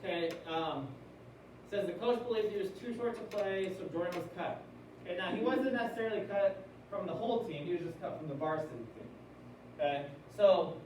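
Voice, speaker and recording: A man's voice: high voice, darkish tone. An American man speaking as a teacher in a classroom, reading aloud and explaining, often checking in with questions. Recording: very echoey room, distant mic, noisy.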